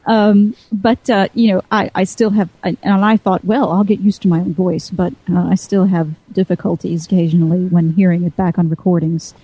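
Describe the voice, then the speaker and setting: A woman with a mellow, warm voice speaks in loose, unscripted podcast chat. She hesitates often, trailing off and restarting her sentences. The sound is dry and close. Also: on the low side, level tone, normal speed, hardly any pauses, slightly rough, no room sound, poor audio. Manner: hesitant, many fillers and restarts. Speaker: podcast panelist. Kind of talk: casual panel chat.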